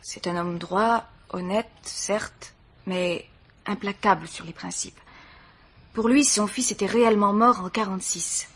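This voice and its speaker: An actress playing a grieving, dignified bourgeois mother in a radio mystery, speaking formally in a measured, sorrowful way. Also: mid-range voice, fairly even intonation, a bit bright, husky. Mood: sorrowful, restrained. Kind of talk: French radio mystery drama acting.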